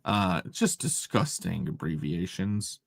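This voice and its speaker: A man's voice: sits low, very animated, takes it slowly, vocal fry, dry recording, clean studio sound. A man with an English accent, co-hosting a casual comics chat show, swearing freely and restarting his sentences. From England. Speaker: podcast co-host.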